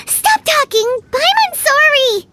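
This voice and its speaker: A woman's voice: very high voice, very animated, takes it slowly, pushed and bright, heavily acted. A woman voicing a small, childlike, chirpy and earnest fairy companion in a video game. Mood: flustered. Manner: speaks of herself in third person.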